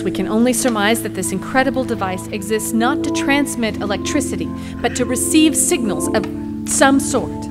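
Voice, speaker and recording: A woman playing a poised, dutiful attorney in a courtroom audio drama, speaking formally with precise, measured diction. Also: mid-range voice, lightly acted.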